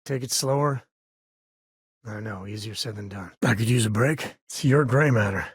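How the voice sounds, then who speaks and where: A man's voice: on the low side, normal speed, slightly rough, no echo, studio quality. An actor playing a wry, good-hearted space explorer and father in a video game, speaking in a casual, folksy way. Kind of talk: video game character dialogue.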